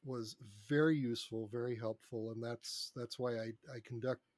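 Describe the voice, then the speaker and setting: A man's voice: medium pitch, fairly even intonation, normal speed, darkish tone, no room sound. A middle-aged or older man talking conversationally as a podcast guest, telling anecdotes about his work on a close mic. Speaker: podcast guest, screenwriter and radio drama producer. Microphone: close mic on a remote podcast recording.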